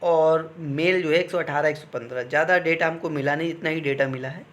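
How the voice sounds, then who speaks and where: Higher-pitched man explaining informally. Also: steady tone, brisk, a bit bright. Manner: instructive, repeatedly checking in with listeners. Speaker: exam coaching teacher advising candidates. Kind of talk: informal explanatory talk on exam cut-offs.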